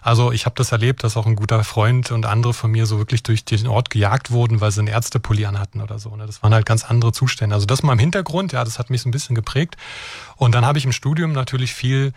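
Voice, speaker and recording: A man's voice: medium pitch, fairly even intonation, fast talker, creaky, a little mellow, dry recording. A middle-aged man from Brandenburg explaining his work as an expert guest. He talks fluently and earnestly, often checking in with "ja?" and "ne?". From Brandenburg, Germany. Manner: fluent, earnest, keeps adding "ja?" and "ne?" tags. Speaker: guest expert on extremism and deradicalization. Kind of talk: explanatory interview answers.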